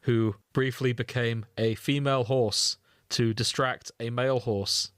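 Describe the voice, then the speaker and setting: A man's voice: medium pitch, fairly even intonation, unhurried, fairly bright tone, smooth, no room sound, studio quality. A man co-hosting a casual podcast, chatting in a jokey, irreverent and sweary way. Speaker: podcast co-host. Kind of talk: casual banter.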